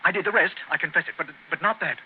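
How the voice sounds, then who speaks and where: An actor playing a guilt-ridden gentleman traitor in a 1930s radio drama, agitated and pleading. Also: high-pitched, rapid-fire, close to an everyday voice. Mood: desperate, pleading. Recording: tinny, noisy 1930s radio broadcast.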